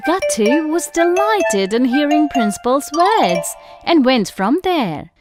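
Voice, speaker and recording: Female storyteller, high pitch, lively intonation, scripted children's narration, background music. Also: slow. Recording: music behind.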